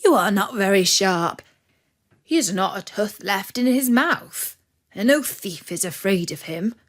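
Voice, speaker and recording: A woman voicing a blunt farmer in a fairy tale, loud, bossy and emphatic. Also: medium pitch, animated, measured pace. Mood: scornful. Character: blunt, emphatic farmer man, master of an old dog. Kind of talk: fairy-tale storybook reading.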